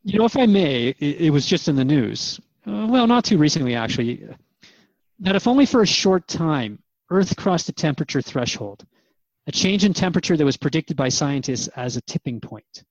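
A man's voice: on the high side, big pitch swings, moderate pace, no echo, lo-fi sound. A man playing an earnest, searching radio host in a drama, asking questions in a thoughtful, conversational, hesitant way. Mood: thoughtful, unsure. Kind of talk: radio drama interview dialogue.

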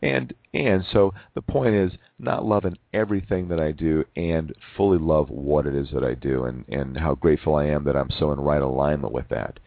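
A man in his forties, hosting a reflective personal monologue, who talks haltingly with many restarts and repeated words. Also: rumbling low, creaky, no room sound. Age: 40s. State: losing his voice from overwork. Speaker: podcast host. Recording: narrow phone-like sound.

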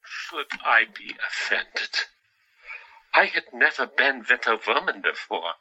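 An actor playing a playful, wryly melancholy male asylum patient in an audio drama, teasing with halting pauses. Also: medium pitch, very animated, sharp tone, husky, dull audio, full character voice.

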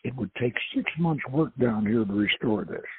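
A man's voice: mid-range voice, animated, moderate pace, slightly rough, dry recording. A man reading the part of a gracious, confident woman archaeologist in an adventure novel, politely and with bookish assurance. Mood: assured. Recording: muffled narrowband radio-like audio.

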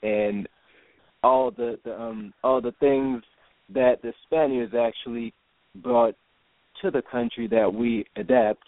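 Guy on a phone line, gravelly voice, keeps restarting his sentences. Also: medium pitch, lively intonation, no room sound. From Jamaica. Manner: halting, many restarts and repeated words. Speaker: guest. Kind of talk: casual interview talk.

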